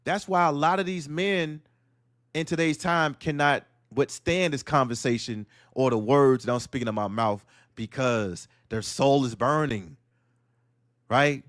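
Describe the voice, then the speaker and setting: A man's voice: medium pitch, a little slow, a bit bright, dry recording, studio quality. A man hosting a solo audio livestream, delivering a blunt, preachy monologue that fires off rhetorical questions. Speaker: livestream host.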